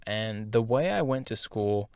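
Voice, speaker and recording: A young man tells his own story in a loose, candid monologue, dropping slang and filler words as he goes. His voice sits a bit low. The sound is dry and close, with almost no room echo. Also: expressive, unhurried, darkish tone, smooth. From half Japanese, schooled in Singapore.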